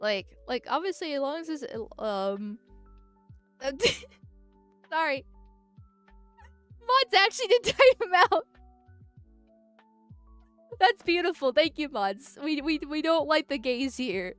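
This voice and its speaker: High, nasal-voiced woman streamer. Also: sing-song, slow, long pauses, fairly bright tone, dry recording, soft background music. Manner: rambling, giggly, with frequent filler words. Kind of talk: casual livestream chat.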